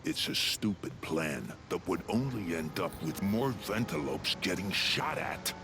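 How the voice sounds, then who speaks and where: An actor playing a suspicious, moody alien club leader in a video game, speaking in a guarded, grave manner. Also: male voice, deep voice, very animated, measured pace, music in the background, full character voice. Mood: wary. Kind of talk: video game cutscene acting.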